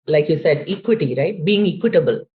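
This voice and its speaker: A woman's voice: low-pitched, lively intonation, fairly quick. A woman in her late forties from India with an Indian accent, speaking thoughtfully as a podcast guest, with frequent "you know" fillers. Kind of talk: conversational interview. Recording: tinny phone-like line.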